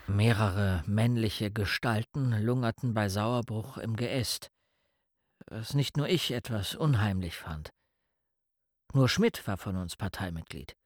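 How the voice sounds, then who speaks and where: A man narrating a radio play in the first person, in a measured, reflective way. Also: fairly low pitch, no echo, clean studio sound.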